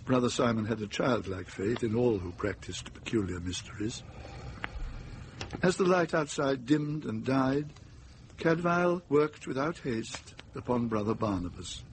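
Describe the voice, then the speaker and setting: A man's voice: medium pitch, lively intonation, unhurried, noisy background. A man narrating a radio drama as a storyteller, reading scripted prose.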